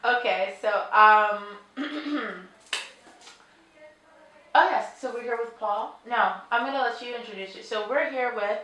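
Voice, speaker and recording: A woman's voice: a little high, a little slow, pauses often, bright, forceful tone. Woman, New York accent, casual interviewer, hesitant and chatty, echoey showroom, faint room noise. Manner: chatty, hesitant, laughs easily. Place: glassworks showroom. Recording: echoey room, voice a bit far from mic.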